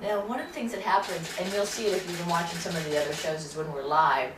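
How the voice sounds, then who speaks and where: Woman, casual chatter, slightly low voice, echoey kitchen, audible background noise. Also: fast, recorded from a distance. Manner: chatty, laughing, while chopping vegetables. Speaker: cooking show co-host. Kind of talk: casual live cooking chat. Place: home kitchen, indoors. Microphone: distant camera mic. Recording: roomy kitchen sound from afar with noise.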